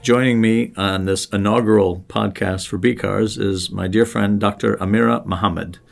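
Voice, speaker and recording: A man with a low, gravelly voice hosts a casual podcast. He talks in a friendly, easygoing way and works through long lists of places and names one at a time. He is recorded on a studio podcast microphone. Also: steady tone, moderate pace, soft background music. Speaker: podcast host and professor.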